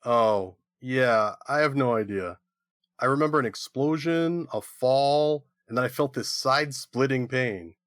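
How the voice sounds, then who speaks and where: A man's voice: mid-range voice, a little slow, a bit bright, no echo, studio quality, close to an everyday voice. A man playing a harried half-banana gnome audio editor who makes puns in a comedy audio drama. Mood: hapless, punning.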